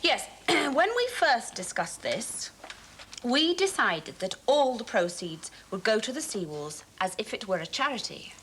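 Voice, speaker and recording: A careful radio station boss with a higher, lively voice that rises as she questions. A faint hiss of noise sits behind her. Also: a little slow. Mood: questioning.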